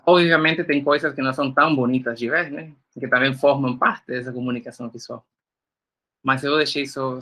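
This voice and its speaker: A young man from Nicaragua speaks with a Nicaraguan Spanish accent, since Spanish is his native language. He teaches a workshop in a loose, joking manner, filling his pauses with little tags. His voice sits fairly high, with a bright, forward ring. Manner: casual, joking, many fillers like "né" and "é". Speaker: graphic designer teaching a workshop. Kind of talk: online workshop lecture.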